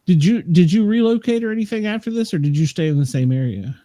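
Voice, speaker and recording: A chatty man in his fifties talks loosely, rambling and chuckling at his own remarks. His voice sits a touch high for a man yet sounds mellow and relaxed. The recording is dry and close. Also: fast talker, no echo. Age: 50s. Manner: rambling, chatty, laughs at himself. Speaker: podcast co-host. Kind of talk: casual chat.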